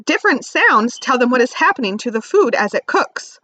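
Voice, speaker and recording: A woman teacher reading aloud to young children, expressively stressing key words and pausing to ask simple questions. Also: sits high, sing-song, pushed and bright, no room sound. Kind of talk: read-aloud lesson with questions to young listeners.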